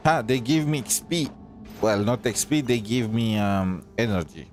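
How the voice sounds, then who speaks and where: A man's voice: mid-range voice, moderate pace. A man giving casual, hesitant gameplay commentary as he thinks aloud. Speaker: gaming streamer. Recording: game music behind the voice. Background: game music.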